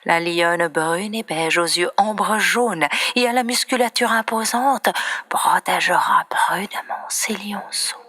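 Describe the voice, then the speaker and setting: A woman reciting poetry in a breathy, often near-whispered voice, with solemn, dramatic and deliberate phrasing. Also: mid-range voice, a bit bright. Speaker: poet reciting own poems. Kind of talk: slow expressive poetry recitation.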